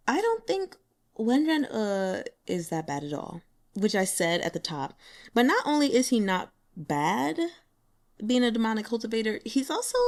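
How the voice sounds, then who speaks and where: A young woman chatting casually about a novel she is reading, animated, laughing often and acting out her own reactions. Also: medium pitch. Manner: carefully spells out a Mandarin phrase syllable by syllable. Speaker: audiobook reader sharing chapter notes. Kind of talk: casual chat.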